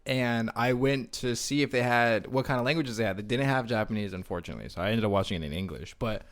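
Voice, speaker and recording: A man's voice: mid-range voice, fast. A man co-hosting a podcast in casual conversation, easygoing and inclined to ask questions. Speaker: podcast co-host. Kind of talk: casual chat.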